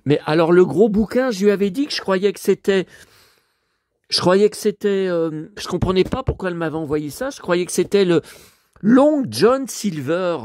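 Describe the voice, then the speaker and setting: A man's voice: sits high, lively intonation, normal speed, a little mellow, no echo, clean studio sound. A man with a stuffy head cold, rambling through a casual vlog monologue and complaining in exasperation. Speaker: vlogger and collector.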